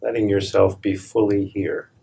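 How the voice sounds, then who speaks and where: A man leading a live guided meditation, speaking calmly and deliberately in short phrases with long pauses between them. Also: on the low side, creaky, husky. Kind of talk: live guided meditation, slow hushed instruction.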